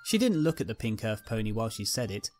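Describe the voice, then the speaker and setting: A man's voice: lowish voice, sing-song, fast talker, darkish tone, soft background music. A man narrating a scripted fan fiction story.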